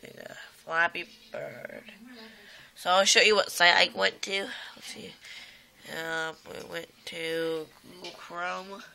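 Sick kid with a gravelly, bright voice. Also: female voice, on the low side, fairly even intonation, takes it slowly. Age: child or young teen. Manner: rambling, frequent "um" and "like", exclaims at the game. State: sick, voice a bit rough. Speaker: gameplay vlogger. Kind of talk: casual gameplay chat. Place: home room by a computer. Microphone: phone camera filming a screen.

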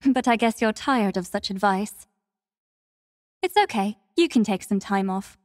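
A woman voicing a devout young female warrior in an anime-style game, gentle and solemn, speaking formally and with measured earnestness. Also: mid-range voice, lively intonation, no room sound, clean studio sound, close to an everyday voice. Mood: gentle, polite.

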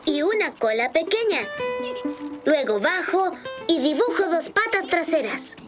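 A cheerful little rabbit boy from a preschool cartoon. The voice is high and lively, friendly and instructive as it explains each drawing step. Music plays clearly behind it. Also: a little slow. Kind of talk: children's cartoon dub, explaining drawing steps.

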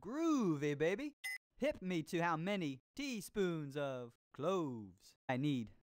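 Hammy, groovy disco cooking host. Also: male voice, on the high side, big pitch swings. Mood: groovy, upbeat. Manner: hammy, drawn-out showman delivery. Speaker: game host. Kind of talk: exuberant 1970s disco slang show hosting. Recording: loud music under the voice.

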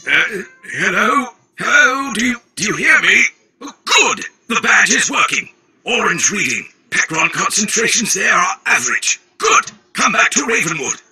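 An actor playing an excitable, eccentric wizard scholar in a video game, with theatrical exclamations and a raspy high voice. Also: male voice, measured pace, full character voice. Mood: excited, testing. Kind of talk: video game character dialogue.

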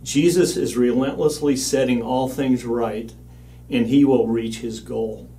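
An older man giving an earnest, measured banquet speech as the leader of a mission organization, standing at a lectern. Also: medium pitch, fairly even intonation, dark tone, far from the mic. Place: studio with backdrop, at a lectern.

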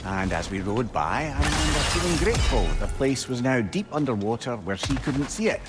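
A man's voice: mid-range voice, sing-song, fairly quick, over loud music, close to an everyday voice. An actor playing a chatty, wry, sentimental male companion in a video game, telling stories in a wordy, teasing way. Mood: wistful. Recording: loud game ambience behind.

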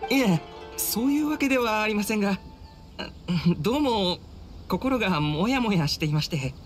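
A man's voice: sits high, expressive, normal speed. A man voicing a humble, dutiful young samurai officer in a Japanese anime game, speaking formally and deferentially. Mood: apologetic, uneasy. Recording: studio voice over game music. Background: game music.

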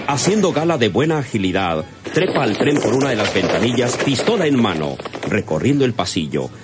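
A man's voice: mid-range voice, sing-song, brisk, loud music behind the voice, lightly acted. A man narrating an old radio serial in a formal, grandiloquent, dramatic style.

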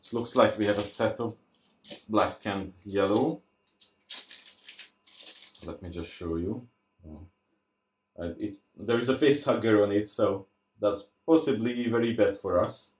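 A man giving casual, unscripted unboxing commentary, thinking aloud in a halting way as he presents. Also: on the low side. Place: indoors at a tabletop. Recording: roomy, echoey sound.

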